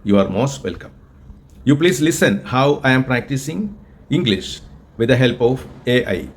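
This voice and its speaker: A man from Kerala, India, with a Malayalam-influenced Indian accent, teaching English in an earnest, deliberate way and often repeating himself. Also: mid-range voice, animated, a little hiss or hum. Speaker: English tutor and group organizer. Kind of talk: spoken English lesson with an AI chatbot.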